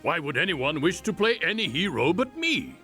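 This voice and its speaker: A man's voice: a little high, big pitch swings, normal speed, sharp tone, faint music behind, full character voice. Pompous superhero king, formal, full of himself, lots of up and down. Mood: haughty disbelief. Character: vain, haughty male undersea-king superhero. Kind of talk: comedic game cutscene acting.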